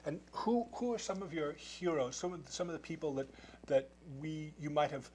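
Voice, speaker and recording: A man's voice: a little high, expressive, moderate pace, darkish tone, slight background noise. A man hosting a TV interview, asking long, rambling questions with many restarts. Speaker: TV program host. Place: television studio.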